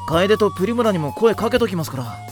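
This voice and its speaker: Woman playing an easygoing, animated anime teenage boy. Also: mid-range voice, fast talker, lightly acted. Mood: resigned. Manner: laid-back, mildly exasperated. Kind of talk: Japanese anime audio drama acting. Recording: faint music behind the voice.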